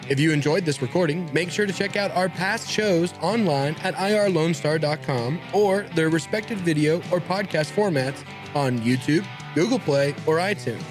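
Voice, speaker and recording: A man with a fairly high voice reads a scripted radio station promo. Plucked guitar music plays clearly behind him. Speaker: community radio station announcer.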